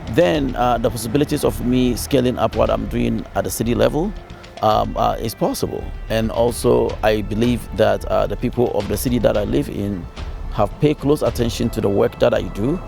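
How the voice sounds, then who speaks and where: A man's voice: medium pitch, fairly quick, creaky, a little mellow, faint music behind. A man from Ghana, a city councilor, giving earnest interview answers with fillers and repeated phrases.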